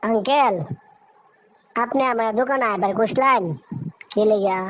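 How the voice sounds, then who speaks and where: A slightly noisy, dull recording of a woman playing a village girl in a cartoon. She has a fairly high voice and a rural Bangladeshi accent, and speaks respectfully with an anxious, placating air. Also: a little slow, natural voice. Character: polite, anxious young village daughter. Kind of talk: cartoon dialogue.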